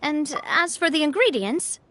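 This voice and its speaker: A woman's voice: high-pitched, sing-song, a little slow, fairly bright tone, heavily acted. An actress voicing a friendly, considerate young woman leading travellers in a video game, chatty and breezy. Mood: flustered. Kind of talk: video game character dialogue.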